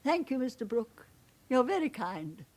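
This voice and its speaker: Genteel elderly widow, high lilting voice. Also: female voice, big pitch swings, a little slow, a little mellow, full character voice. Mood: wistful. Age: older. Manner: polite, hesitant, wistful. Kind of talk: naturalistic British radio drama acting.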